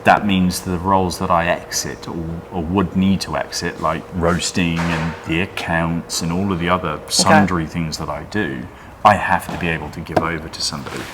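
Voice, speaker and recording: A man with a very low voice, chatting casually about his coffee business. He speaks in a dry, wry way and often stops and restarts. Clear room noise sits behind him. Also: steady tone, normal speed. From Wales. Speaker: coffee roaster and business owner. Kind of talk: casual interview chat. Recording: noisy room ambience.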